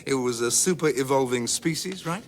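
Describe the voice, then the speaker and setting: A man's voice: medium pitch, moderate pace, slight background noise, natural voice. A man playing a brash, hip space rogue in a radio comedy, slangy, swaggering and impatient. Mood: smug, laid-back. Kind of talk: British radio comedy drama acting.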